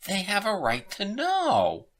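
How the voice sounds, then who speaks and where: Woman voicing an urgent, nervous male scientist, raised pitch. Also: huge pitch swings, takes it slowly, exaggerated voice. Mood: alarmed, raving. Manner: emphatic, alarmed warning. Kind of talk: storybook character reading.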